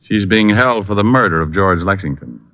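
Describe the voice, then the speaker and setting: A man's voice: sits low, lively intonation, normal speed. An actor playing a wry, probing male private detective in 1940s radio drama style. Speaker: lead actor and narrator. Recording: dull old radio recording with music.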